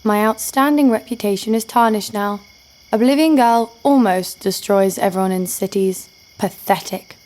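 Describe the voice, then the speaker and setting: A woman's voice: mid-range voice, normal speed, a little mellow, close to an everyday voice, rough recording. A young woman playing a sarcastic, foul-mouthed bounty hunter who narrates her own story in an audio drama. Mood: sarcastic, teasing. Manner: dry, sardonic. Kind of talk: first-person narration and dialogue in audio drama. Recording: music behind the voice.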